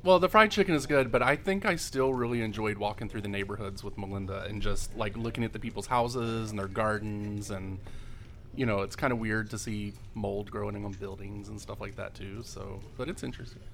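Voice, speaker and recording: A man's voice: fairly low pitch, fairly quick, fairly bright tone, slight background noise. A man from Northern Virginia, a guest on a casual podcast, speaking in a laid-back way and often trailing off. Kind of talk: casual chat.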